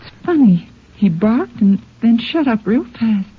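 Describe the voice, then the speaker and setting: Anxious, husky ranch wife. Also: female voice, a little high, sing-song, a little slow, heavily acted. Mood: anxious, uneasy. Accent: American. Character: anxious ranch wife, a grown woman woken at night. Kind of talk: 1950s radio drama acting. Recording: muffled vintage radio recording.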